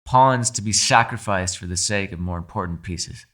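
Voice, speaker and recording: A man playing a captive mind-controlling being in an ASMR roleplay, calm, shrewd and persuasive, speaking in a measured, formal, quietly condescending way. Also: low-pitched. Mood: pointed, persuasive. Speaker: voice actor. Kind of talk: ASMR roleplay, dramatic monologue.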